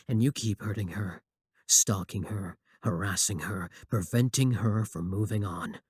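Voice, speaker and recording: A man's voice: lowish voice, breathy edge, no room sound. Man acting a possessive young admirer, close and dry. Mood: cold, resentful. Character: possessive, obsessive young male student, sweet yet menacing admirer. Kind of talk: scripted ASMR roleplay acting.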